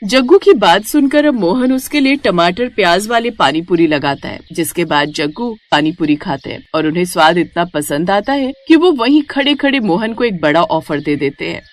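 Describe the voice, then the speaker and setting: Female narrator, scripted moral tales, animated melodic delivery. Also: fairly low pitch, moderate pace, few pauses.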